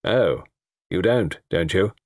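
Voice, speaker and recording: A man voicing a brash, petulant young Englishman, loud, sarcastic and bantering, in an audiobook story. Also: low voice, animated, slow pace, no room sound, studio quality, heavily acted.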